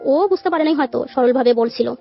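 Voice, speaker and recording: A woman's voice: high voice, fast talker, gravelly. A woman with a Bangladeshi accent telling her life story as a radio guest, rambling and quoting conversations as she goes. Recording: muffled radio audio with music behind. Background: background music.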